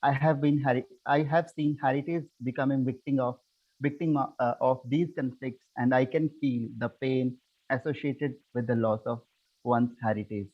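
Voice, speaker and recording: A man's voice: medium pitch, fairly even intonation, dry recording. Man from Kashmir on a tinny line. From Jammu and Kashmir, India. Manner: measured, stumbles on words. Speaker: webinar panelist.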